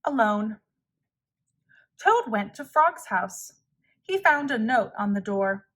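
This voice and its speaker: A woman narrating a children's storybook aloud in a measured, clearly phrased way. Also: on the high side, very animated, fairly bright tone. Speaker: storybook reader.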